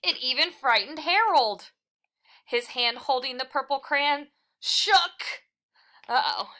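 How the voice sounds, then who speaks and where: Animated, bright-voiced woman reading a storybook. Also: sits high. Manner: animated, deliberate storybook phrasing with dramatic emphasis. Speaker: storyteller reading a picture book aloud. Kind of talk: expressive children's read-aloud narration.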